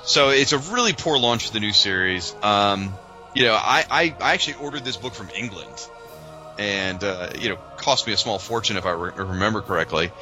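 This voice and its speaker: Low voiced guy podcast host, really bright punchy sound, informal fan chat, music behind. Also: animated, a little fast. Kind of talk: casual fan discussion.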